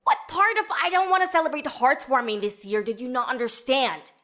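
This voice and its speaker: A woman's voice: high-pitched, very animated, a little fast, a bit bright, no echo, clean studio sound, soft background music. A woman playing a stubborn, weary pegasus mother in a fantasy audio drama, curt and dismissive. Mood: angry.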